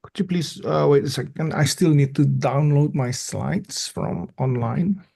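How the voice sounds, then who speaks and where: Conference speaker, male, from Indonesia. A little high in pitch, casual professor presenting over a video call. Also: unhurried, vocal fry, warm tone, breathy edge. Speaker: academic presenter, associate professor. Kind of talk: informal conference talk.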